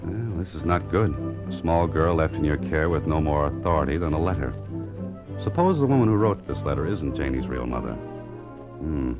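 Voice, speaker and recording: A very deep-voiced private investigator in a 1940s radio drama. He is wry and self-mocking but soft-hearted. The old recording is dull, with clear music behind him. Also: expressive, normal speed. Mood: wry, musing.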